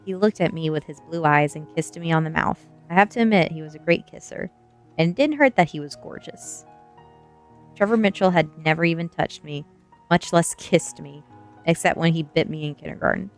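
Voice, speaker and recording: A woman reading a first-person story aloud as ASMR, with soft music behind her. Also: low-pitched. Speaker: streamer and reader.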